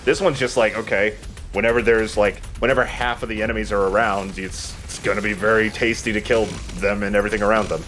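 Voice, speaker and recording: A man's voice: on the low side, fairly even intonation, fast, fairly bright tone, a little hiss or hum. A man giving casual commentary as he plays a video game and hosts for friends. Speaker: let's play host and player.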